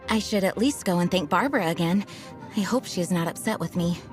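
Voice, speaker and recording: An actress playing a composed young knight leader who speaks in a calm, formal, polite way. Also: female voice, lowish voice, brisk, close to an everyday voice. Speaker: acting grandmaster of a knightly order. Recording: game audio with music behind.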